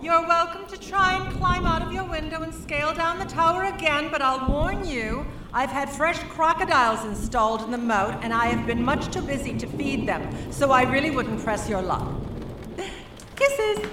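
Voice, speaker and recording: A woman's voice: high-pitched, animated, normal speed, music in the background, low-quality recording. A woman playing a vain, breezy queen mother in an audio drama, haughty and theatrical, with airy dismissiveness. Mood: gleeful, taunting.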